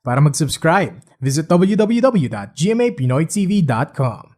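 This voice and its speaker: A man's voice: on the high side, big pitch swings, normal speed, darkish tone. A man with a Filipino accent reading a scripted broadcast promo as an announcer.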